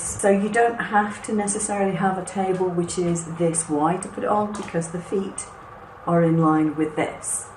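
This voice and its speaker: A woman, hoarse and husky after a cough, chattily walking viewers through a machine step by step as host of a craft channel. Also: lowish voice, a little mellow. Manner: chatty and reassuring, explains step by step. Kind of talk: hands-on product walkthrough. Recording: echoey room with faint background noise.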